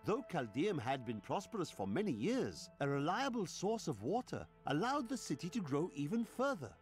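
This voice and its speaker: A learned male chronicler for a fantasy game. His voice is fairly high and swings widely in pitch as he narrates formally, with music behind him. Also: very animated, moderate pace. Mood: scholarly. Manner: scholarly, formal. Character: learned male chronicler reading city history. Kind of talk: scripted lore narration.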